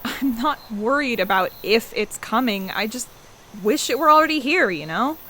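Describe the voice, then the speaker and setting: Actress as a bright-voiced, snappy young woman. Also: a little high, animated, normal speed. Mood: weary. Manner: sarcastic, snappy. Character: hungry, impatient, overworked young woman at home. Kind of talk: naturalistic audio play dialogue.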